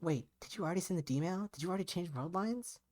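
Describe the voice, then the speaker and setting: A man's voice: sits high, fast talker, a little mellow, voice pushed up, natural voice. A man voicing an earnest young woman in a visual novel, blunt but caring, questioning frankly and insistently. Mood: urgent, questioning.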